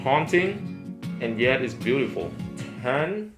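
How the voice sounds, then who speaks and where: A man's voice: medium pitch, sing-song, slow. A man co-hosting a casual review discussion, speaking enthusiastically with frequent "you know" asides. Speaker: review show co-host. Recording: roomy echo with music underneath.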